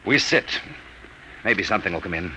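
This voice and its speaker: A man's voice: low voice, animated, normal speed. A man playing a driven American army major in an old radio drama, speaking in terse, commanding phrases. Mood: grim, frustrated. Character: tense, driven army major leading a manhunt. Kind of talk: 1950s radio drama acting. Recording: faintly noisy vintage radio recording.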